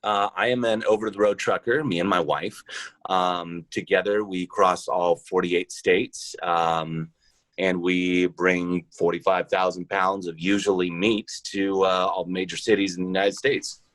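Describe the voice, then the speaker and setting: A man with a very low-pitched voice gives casual interview answers as a podcast guest. The sound is close and very dry, with no room around him. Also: fairly even intonation, unhurried, a bit bright, no echo.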